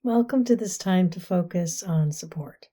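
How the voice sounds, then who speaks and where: A woman guiding a meditation in a soft, slow voice that often drops to a whisper, with long pauses between phrases. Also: fairly low pitch, expressive. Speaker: meditation guide. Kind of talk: guided meditation.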